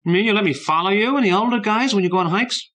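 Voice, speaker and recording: A man's voice: high voice, rapid-fire, fairly bright tone, voice pushed up. A man voicing an eager, loyal little boy with a lifted, wide-eyed delivery.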